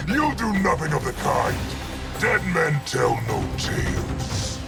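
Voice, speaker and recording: A man's voice: a little high, big pitch swings, very slow, creaky, heavily acted. A man voicing a sinister, mocking general, the villain of a video game story. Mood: menacing, mocking. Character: menacing, sneering villainous male general. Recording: loud music under the voice.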